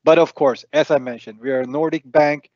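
A man's voice: on the high side, fairly even intonation, a little fast, a little mellow, dry recording. A man in his thirties whose native tongue is Swedish, speaking for a bank on a webinar through a headset, hesitant with fillers. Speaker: bank representative. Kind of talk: webinar answer. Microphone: headset on video call. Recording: dry, dull headset audio.

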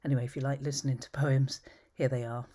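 A woman reading her own poems at home, measured and thoughtful, often trailing into a whisper. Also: a bass voice, flat delivery, dark tone. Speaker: poet. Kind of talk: poetry reading. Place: home study with bookshelves, indoors.

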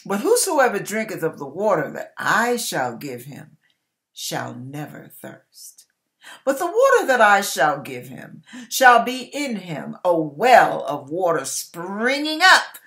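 An older woman in about her sixties gives a dramatic Bible reading as a preacher, emphatic and deliberate, stretching words and pausing between phrases. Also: on the low side, very animated, a bit bright. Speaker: online preacher reading scripture aloud. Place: small indoor room with curtain behind.

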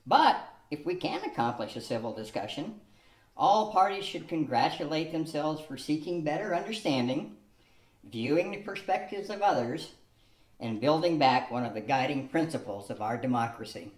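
Old guy doing elmer, a patient husband who explains things, lively pitch, echoey room. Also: normal speed, natural voice. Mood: calm. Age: older. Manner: reads carefully from a script, lecturing tone. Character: folksy older husband Elmer, patient and reasoned. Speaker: actor playing Elmer, a husband. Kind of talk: amateur radio-play reading. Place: studio room with a cloth backdrop. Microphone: stand mic on a tripod.

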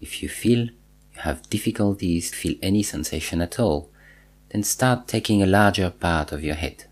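A man guiding a body scan meditation, giving measured instructions in short phrases with pauses and repetition. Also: sits low. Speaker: meditation teacher.

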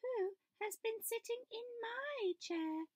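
A woman voicing a stern mother bear who speaks loudly and indignantly. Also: very high-pitched, expressive, takes it slowly, dry recording, studio quality, pitched above the performer's own voice, heavily acted.

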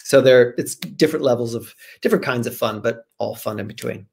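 A man in his forties, an author guest, casually answering questions over a video call from a room at home in an animated, jokey way. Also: mid-range voice, a little fast, creaky, a little hiss or hum.

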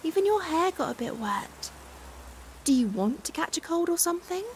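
A British woman playing a caring, fussing young stranger in a chatty, expressive ASMR roleplay. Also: extremely high pitch, normal speed. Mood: concerned, fussing. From United Kingdom. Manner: chatty, expressive, giggly hesitations. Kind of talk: acted ASMR roleplay, conversational. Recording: hiss and steady rain sound. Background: rain falling.

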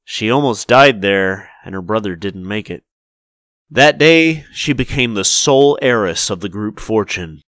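A man's voice: fairly low pitch, expressive, unhurried, a bit bright, no room sound. A man playing a cautious, placating flower shop manager in a visual novel, obsequious and emphatic. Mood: gossipy, cautioning.

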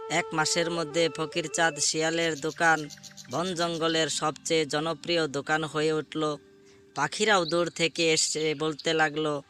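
A man narrating a scripted moral animal story as a storyteller. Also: a little high.

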